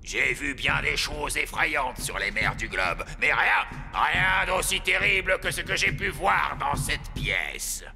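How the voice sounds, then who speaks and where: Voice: mid-range voice, lively intonation, slow pace, gravelly, few pauses, big-room sound, heavily acted. An actor playing a boisterous, bombastic pirate sailor with theatrical exclamations and flowery endearments in a French cartoon. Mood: grave, dramatic. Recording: loud music behind the voice.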